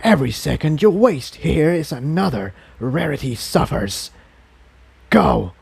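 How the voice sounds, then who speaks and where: A man's voice: fairly high pitch, sing-song, a little slow, gravelly, darkish tone, husky, exaggerated voice. A man voicing a sinister, impatient entity that hisses commands inside a dragon's head in a story reading. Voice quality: hissing, whispery.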